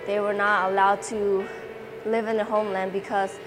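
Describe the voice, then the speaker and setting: A woman's voice: mid-range voice, level tone, normal speed. Young woman from thailand, plain storytelling, mid voice, music under it. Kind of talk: personal interview testimony.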